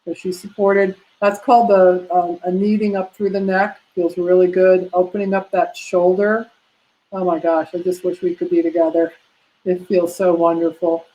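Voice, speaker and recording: Casting: lower-voiced woman instructor, casual and rambling, webcam class feel. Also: flat delivery, normal speed, warm tone, poor audio. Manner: chatty, many fillers, talks to herself while clicking slides. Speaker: prenatal massage instructor. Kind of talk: live online class, casual teaching.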